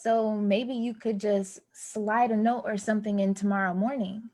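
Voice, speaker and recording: An actress playing an anxious, plainspoken young building employee in a naturalistic play reading. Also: female voice, mid-range voice, fairly even intonation, moderate pace, dark tone, close to an everyday voice. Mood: pleading, insistent. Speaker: actor in a staged play reading. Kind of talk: naturalistic stage dialogue reading.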